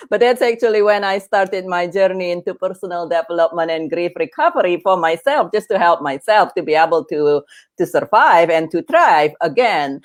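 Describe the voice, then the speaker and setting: A middle-aged woman, born in Indonesia with Indonesian as her first language, talking as a guest on a podcast in an earnest, chatty way with many fillers and restarts. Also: mid-range voice. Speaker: guest, veterinary oncologist and grief coach. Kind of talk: podcast interview.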